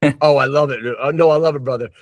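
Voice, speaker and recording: A man's voice: mid-range voice, rapid-fire, dry recording. A man hosting a casual podcast interview, enthusiastic and slangy, dropping "dude" and "man" often. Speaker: podcast host.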